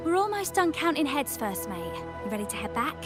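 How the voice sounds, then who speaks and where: Lively, high-voiced crew woman. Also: a little fast, music in the background. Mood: friendly concern. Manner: informal, chummy, expressive. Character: friendly, easygoing crew woman, caring and folksy. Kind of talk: video game voice acting.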